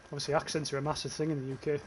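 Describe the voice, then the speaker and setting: A man's voice: fairly high pitch, little pitch movement, very fast, a little hiss or hum, low-quality recording. A young British man with a northern English accent, casually commentating his gameplay livestream, swearing offhand and reading chat comments. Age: young adult. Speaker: streamer.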